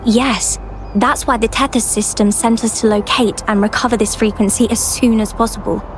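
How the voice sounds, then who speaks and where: A woman's voice: a little high, fairly even intonation, moderate pace, faint music behind, lightly acted. A woman voicing a polite, conscientious young field researcher in a video game, earnest and slightly hesitant. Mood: concerned, explaining. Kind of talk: video game character dialogue.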